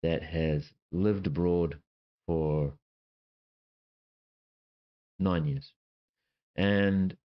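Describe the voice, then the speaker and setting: Deep-voiced New Zealand man, halting. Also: fairly even intonation, highs cut off. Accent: New Zealand, softened by years abroad. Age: 50s. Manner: halting, many fillers and self-corrections. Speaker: channel host. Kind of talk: casual self-introduction to camera. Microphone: laptop with webcam and desk microphone.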